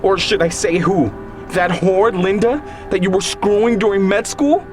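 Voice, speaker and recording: Man voicing distraught, volatile woman, pitched high. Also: moderate pace, music in the background, close to an everyday voice. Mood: angry, accusing. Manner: sobbing, snapping, shouting. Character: distraught unstable woman, tearful, accusing and volatile.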